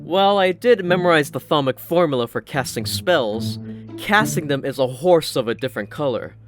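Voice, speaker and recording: A male narrator reads a first-person story in a slightly high voice. Music plays clearly behind his scripted delivery. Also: expressive, normal speed. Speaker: audiobook narrator. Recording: narration over prominent music.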